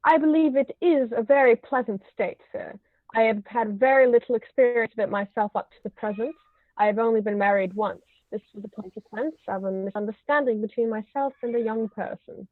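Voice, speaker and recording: Tinny, high-voiced deferential manservant, played by a woman. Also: fairly quick, dry recording, close to an everyday voice. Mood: deferential, deadpan. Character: deferential, unflappable male manservant. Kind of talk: stage comedy table reading. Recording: tinny, phone-like narrow sound.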